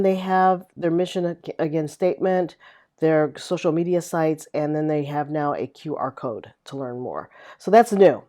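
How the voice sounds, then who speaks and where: A middle-aged woman chatting casually to camera as a vlogger, rambling with many fillers, her voice a little raspy. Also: deep voice, warm tone. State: slightly raspy voice. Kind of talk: casual chat.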